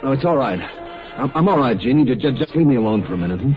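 Actor as a smooth-talking sweetheart, dull old recording. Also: male voice, mid-range voice, expressive, fast talker, soft background music, close to an everyday voice. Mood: strained, soothing. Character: smooth-talking man wooing a young sweetheart. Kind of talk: 1950s radio drama acting. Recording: dull vintage radio recording.

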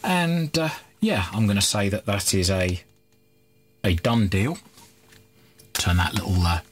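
A man's voice: fairly low pitch, expressive, a little slow. A man in his thirties casually chatting while repairing an old radio at his cluttered electronics workbench, rambling with fillers and restarts.

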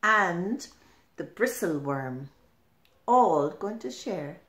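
A middle-aged woman reading a children's picture book aloud, expressive, deliberate and emphatic, in a roomy-sounding home. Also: on the low side. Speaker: storyteller. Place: small furnished room at home. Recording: roomy indoor echo.

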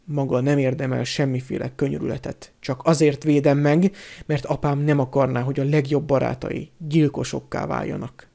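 A man's voice: mid-range voice, little pitch movement, creaky, warm tone, lightly acted. A man voicing a resolute, indignant teenage boy in an audiobook, speaking firmly and breathlessly. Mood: disgusted. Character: resolute, disgusted teenage boy sparing an enemy. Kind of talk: audiobook character acting.

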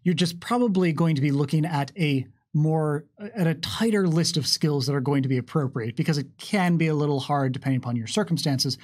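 A man in his thirties co-hosting a question session, explaining things in articulate, measured phrases. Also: fairly high pitch, a little mellow. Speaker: game developer co-hosting a Q&A. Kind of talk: explanatory panel talk. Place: indoor studio table with curtain backdrop. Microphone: tabletop microphone on a stand.

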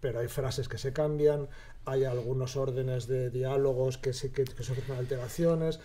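Mellow-voiced Spanish man, rambling explanations. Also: mid-range voice, fairly even intonation, moderate pace. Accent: Spain. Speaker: scriptwriter. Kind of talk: casual interview explanation.

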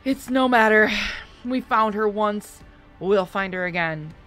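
A woman voicing a furious, cruel man leading a band of hunters, shouting and menacing. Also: mid-range voice, a little slow, faint music behind, lightly acted. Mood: cold.

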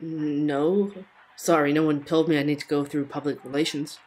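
Woman playing a very low-voiced polite reporter. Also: flat delivery, normal speed, darkish tone, close to an everyday voice, rough recording. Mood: polite, tentative. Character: polite, hesitant reporter calling for comment. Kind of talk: audio drama phone call.

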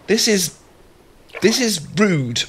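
A man's voice: sits high, very animated, takes it slowly, noisy background, full character voice. A man with a British accent acting an awkward, easily flustered, bashful friend in a romantic ASMR audio roleplay, stammering and rambling as he goes. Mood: panicky, protesting.

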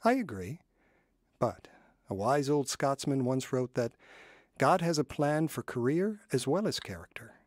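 An actor playing an encouraging pastor in a radio drama, giving steady advice. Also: male voice, mid-range voice, measured pace, no room sound, studio quality. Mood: advising. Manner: steady, advising. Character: wise, encouraging male pastor.

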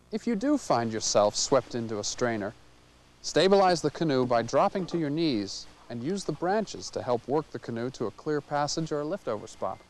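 A man in his thirties narrating a canoeing lesson, explaining strokes in a clear, measured way. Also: mid-range voice, a little mellow. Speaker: canoeing instructor. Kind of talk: instructional narration.

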